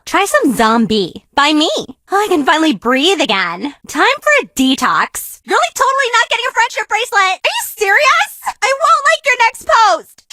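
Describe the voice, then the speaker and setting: Vain bratty young diva for a game, whiny and sassy, bright high voice, swoopy. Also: female voice, dry recording, heavily acted. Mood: snide. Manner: sassy, whiny teen slang. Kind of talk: video game character catchphrases.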